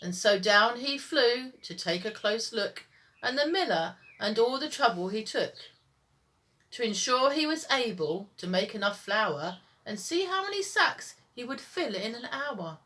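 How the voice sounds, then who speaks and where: Female storytime teacher, high-pitched and very animated, reading rhyming children's tales with a sing-song lilt. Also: measured pace.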